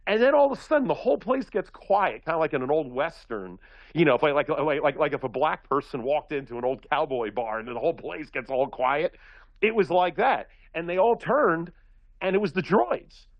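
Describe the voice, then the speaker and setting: A man from Philadelphia talking in a casual radio chat, rambling and animated, with many restarts. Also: a little high, moderate pace, no echo, lo-fi sound.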